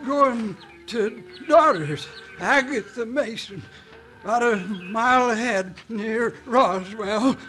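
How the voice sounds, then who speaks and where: A man's voice: very high-pitched, takes it slowly, sharp tone, soft background music, exaggerated voice. An actor playing a stubborn, blustering old mountain man of about seventy in a radio western, with folksy frontier talk. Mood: weak, dazed.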